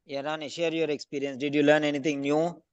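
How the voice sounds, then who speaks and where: Brisk male teacher on a dry video call. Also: on the high side, level tone, clear, resonant tone, no echo, dull audio. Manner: brisk, calls on students by name. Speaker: English speaking teacher. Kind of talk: online class feedback round.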